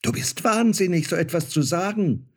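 A man's voice: mid-range voice, animated, a little slow, darkish tone, husky. A man voicing a proud, cruel young boy who mocks others in a fairy tale. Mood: scornful. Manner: taunting, contemptuous.

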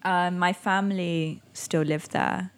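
A young woman who grew up in Indonesia, answering interview questions in a reflective way with many pauses and soft laughs. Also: fairly low pitch, steady tone, smooth. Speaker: interviewee, climate activist. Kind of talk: conversational interview.

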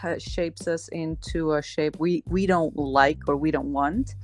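A woman's voice: low-pitched, steady tone, measured pace. A woman from Slovenia, talking casually and reflectively as an interview guest, in a discursive way with emphatic repetition. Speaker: guest, marriage and relationship coach.

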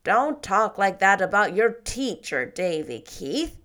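A woman reads as a stern, severe older woman in a storybook, speaking slowly and firmly. Her voice stays lower and steadier than the others around her. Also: big pitch swings, fairly bright tone. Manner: severe, slow and firm.

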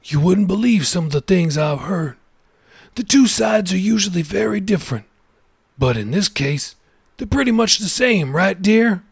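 A man voicing an older male pastor who gives kindly, measured advice in a storybook reading. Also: sits high, animated, gravelly, husky, dry recording, voice pushed up, full character voice. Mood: counseling. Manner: gentle, measured, advising.

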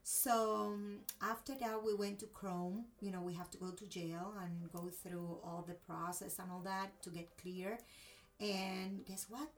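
A woman's voice: medium pitch, level tone, measured pace, far from the mic. A woman from Cuba with a Cuban accent and Spanish as her first language, telling a personal story expressively in a conversational interview.

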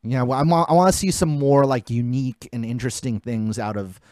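A man's voice: medium pitch, a little fast, darkish tone, dry recording. Rambling laid-back podcast guy, dry mic. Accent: Southern Californian. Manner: rambling, trails off, repeats himself. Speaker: podcast co-host. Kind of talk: casual wrestling chat.

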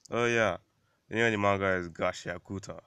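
A man with a very deep, gravelly voice roughened by a cold, speaking in a dry, deadpan way on a casual podcast. The sound is close and dry, with no room around it. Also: normal speed, dry recording. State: has a cold. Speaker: podcast co-host. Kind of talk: casual chat.

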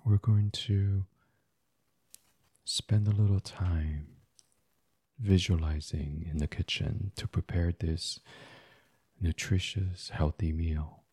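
Deep, husky, very slow male meditation guide. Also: fairly even intonation, dry recording. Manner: gentle, soothing, unhurried, breathy. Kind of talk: soft guided sleep meditation, ASMR reading.

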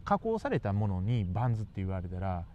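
A man's voice: lowish voice, wildly swooping pitch, fast talker, mellow, muffled recording, slight background noise. A man with a Kansai Japanese accent in casual comic banter as a radio co-host, speaking politely with playful, quibbling retorts. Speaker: radio talk show co-host.

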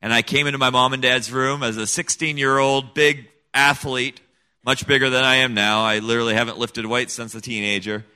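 A middle-aged man tells his story as an interview guest with an earnest, emphatic drive. His voice is bright and forceful, sitting at an average pitch for a man. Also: level tone, fairly quick, clear, resonant tone. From Senegal. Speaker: guest, missionary kid. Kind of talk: personal testimony in an interview.

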